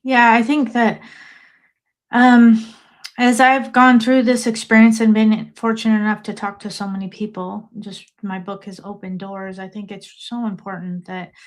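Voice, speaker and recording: A woman in her fifties as a podcast guest, speaking in a measured, reflective way. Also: medium pitch, level tone. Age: 50s. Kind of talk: interview answers.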